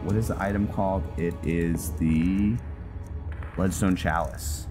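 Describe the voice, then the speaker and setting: A man doing casual video game commentary in a halting way, thinking aloud as he plays. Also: deep voice, steady tone. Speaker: host of a video game roleplay series. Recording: music behind the voice.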